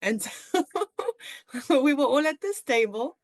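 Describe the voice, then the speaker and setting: Young South African woman, podcast host. Higher-pitched, dry sound, casual and chatty. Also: animated, takes it slowly, dry recording. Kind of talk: casual chat with a friend.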